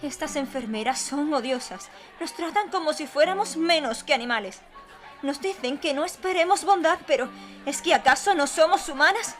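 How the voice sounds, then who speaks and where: Audio drama role: plaintive woman inmate of an asylum played by an actress, high voice, resentful despair, music behind. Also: animated, moderate pace, fairly bright tone. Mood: despairing, bitter. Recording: prominent music under the voice.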